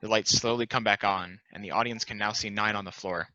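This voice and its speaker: A man's voice: on the low side, fairly even intonation, a little fast, pushed and bright, no room sound. A man reading scripted stage directions as narration at a table read. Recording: dull, lo-fi close dry recording.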